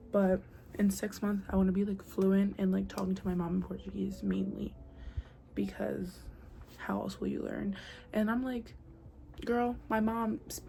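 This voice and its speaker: Chatty young woman, gravelly and mellow. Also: medium pitch. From United States. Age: young adult. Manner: chatty, lots of "like" and "girl", drops to a whisper at times. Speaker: vlogger. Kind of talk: casual chat to camera. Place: noisy spot with outside sound. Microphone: built-in device mic, no proper microphone. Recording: faint outdoor noise behind a built-in mic.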